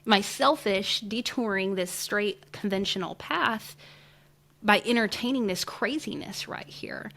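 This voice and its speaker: A woman's voice: medium pitch, measured pace, gravelly, pushed and bright. A woman hosting a casual interview over a video call, speaking in an effusive, emphatic, slangy way. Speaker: podcast host interviewing a guest.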